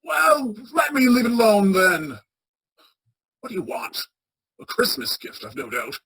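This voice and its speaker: A woman playing a bitter, miserly old male boss in a radio play, with a raspy, scornful, grumbling delivery. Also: mid-range voice, wildly swooping pitch, a little slow, fairly bright tone, exaggerated voice. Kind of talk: theatrical radio play acting.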